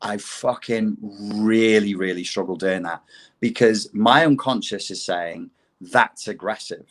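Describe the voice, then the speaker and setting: A man's voice: lowish voice, a little slow, a bit bright. Sweary man in blunt casual chat. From England. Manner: blunt, sweary and self-mocking.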